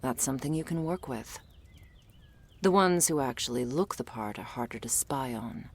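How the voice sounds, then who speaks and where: Woman acting very deep, husky, gruff monster huntress. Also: animated, normal speed, full character voice. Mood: serious, measured. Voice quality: low, husky, breathy with gravelly creak. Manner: curt, sardonic, bossy. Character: gruff, world-weary female monster hunter, curt and guarded. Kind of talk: ASMR audio roleplay addressed to the listener.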